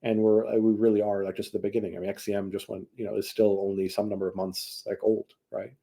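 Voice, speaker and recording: Low, creaky-voiced man explaining casually. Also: level tone, fast talker, warm tone. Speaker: tech company chief executive, guest. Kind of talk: casual explanatory interview talk. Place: video call.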